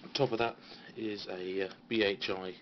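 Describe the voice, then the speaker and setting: A British man giving a casual, halting, understated tour of his hobby equipment, as an enthusiast showing viewers around. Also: lowish voice, steady tone. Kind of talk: casual informal show-and-tell. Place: small radio room at home. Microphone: handheld phone. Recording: dull phone recording with faint noise.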